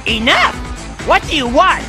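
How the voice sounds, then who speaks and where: A man's voice: extremely high pitch, huge pitch swings, unhurried, over music, exaggerated voice. An actor playing a cocky young male hawk who leads a gang and talks in a brash, impatient way.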